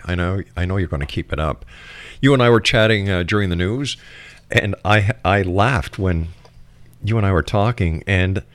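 Deep-voiced male radio host. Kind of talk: radio talk show hosting and interviewing.

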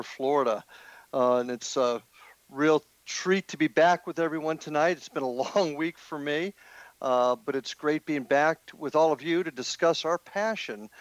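A man's voice: a little high, dry recording. A man hosting a radio talk show, speaking in a friendly way with fillers and restarts. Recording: dull lo-fi, dry sound.

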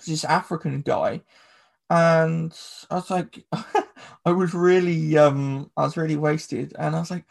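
A man's voice: a little high, fairly even intonation, slow pace, vocal fry. An English man hosting a podcast, rambling through casual chat with lots of filler words. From England. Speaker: podcast host.

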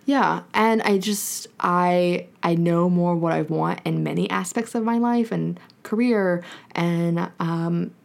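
A woman's voice: on the low side, takes it slowly, creaky, darkish tone. A woman turning thirty, co-hosting a podcast in casual, reflective chat that rambles with lots of "like". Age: 30s. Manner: reflective, rambling with many likes. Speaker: podcast co-host.

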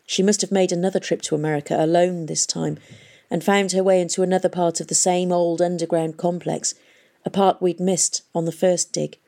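A woman's voice: fairly low pitch, little pitch movement, fairly quick, gravelly, dark tone. A woman reading the part of a guilt-ridden middle-aged father who confesses to murder, pleading and miserable. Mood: confessing.

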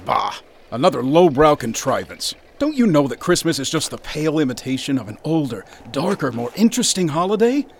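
A man's voice: a little high, sing-song, fairly quick, a little hiss or hum. A man playing a pompous, gloomy writer. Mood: scornful. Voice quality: haughty, gloomy, ornate speech. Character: grumpy, pompous young male writer. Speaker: struggling author.

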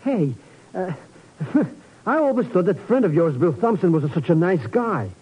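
An actor playing a cowardly comic sidekick in a 1950s radio drama, stammering through slangy, nervous protests. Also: male voice, sits high, very animated, moderate pace, slightly rough, full character voice. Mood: alarmed, bewildered. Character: bumbling, cowardly comic sidekick man, shifty and excitable. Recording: muffled 1950s radio recording.

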